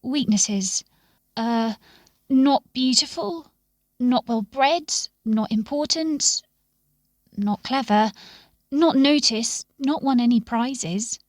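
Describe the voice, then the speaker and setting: Little underdog donkey, played by a woman: self-deprecating, plain-spoken, dependable, higher storybook voice. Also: slow pace, no room sound.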